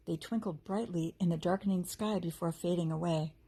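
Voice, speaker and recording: A woman reading a storybook aloud as a teacher would to children, with expressive delivery and character voices. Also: fairly low pitch, brisk, husky.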